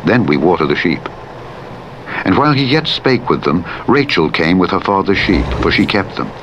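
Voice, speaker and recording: A man narrating the Bible in a solemn, measured and formal declamatory manner. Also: animated, highs cut off. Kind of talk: solemn scripture reading. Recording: played in a game over rumbling truck engine noise. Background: vehicle rumble from a truck simulator game.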